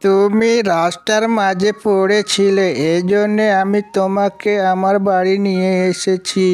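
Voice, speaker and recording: A man's voice: high-pitched, flat delivery, unhurried, darkish tone, clear, resonant tone, faint music behind. A man acting a concerned, kindly village passerby. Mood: caring. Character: kind, caring male village passerby. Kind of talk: cartoon moral-story acting.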